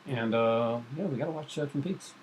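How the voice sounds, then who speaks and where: A man's voice: medium pitch, brisk, slight background noise, low-quality recording. A middle-aged man hosting a casual chat vlog, rambling enthusiastically in a home kitchen. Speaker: vlog host. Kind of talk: casual chat about comics and horror films.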